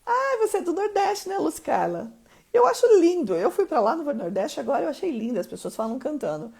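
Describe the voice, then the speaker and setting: A woman's voice: high-pitched, big pitch swings, brisk, creaky, darkish tone. A woman from Mato Grosso do Sul with a Curitiba accent, coaching listeners on a live stream and often checking in with them. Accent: Curitiba Portuguese. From Mato Grosso do Sul, Brazil. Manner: emphatic, keeps checking in with listeners. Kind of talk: motivational live-stream teaching.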